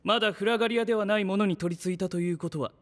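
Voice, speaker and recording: An actor playing an aloof, analytical young knight with a detached, haughty manner in a Japanese anime voice drama. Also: male voice, sits high, very fast. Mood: pondering. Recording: long hall reverb.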